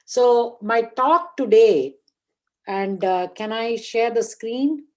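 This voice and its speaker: A woman in her sixties from India, a guest professor opening an online lecture, speaking carefully and deliberately. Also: fairly high pitch, animated. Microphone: online video call.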